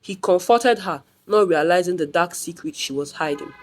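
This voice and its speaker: A woman's voice: fairly low pitch, moderate pace, soft background music. A woman reading a scripted folktale as a storyteller.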